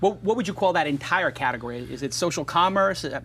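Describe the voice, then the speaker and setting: Fast-talking opinionated male host. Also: fairly high pitch, lively intonation, a bit bright, a little hiss or hum. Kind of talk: casual chat and ad reads.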